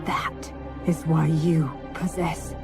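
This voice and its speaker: A woman's voice: low voice, flat delivery, slow. A woman playing a stern, solemn witch in a video game, speaking in a grave, formal, declamatory way. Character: stern, solemn witch rival guarding her clan's treasures. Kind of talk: video game cutscene acting. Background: loud music.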